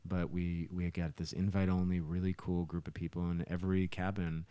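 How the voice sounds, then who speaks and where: Male musician co-host, deep voice, dry close sound, halting casual storytelling. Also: flat delivery, mellow, smooth, no room sound. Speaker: musician co-hosting a podcast. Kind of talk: casual podcast chat. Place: living room with soundproofing.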